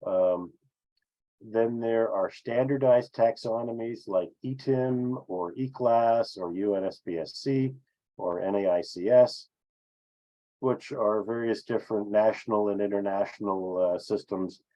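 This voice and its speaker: A man presenting an explanatory business webinar with slides, speaking haltingly with frequent filled pauses. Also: lowish voice, warm tone. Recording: dull, lo-fi webinar audio.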